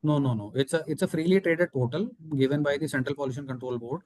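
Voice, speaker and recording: A man's voice: fairly high pitch, fast talker, darkish tone, no room sound, studio quality. A man with an Indian accent, a company executive giving formal, methodical answers on an earnings call.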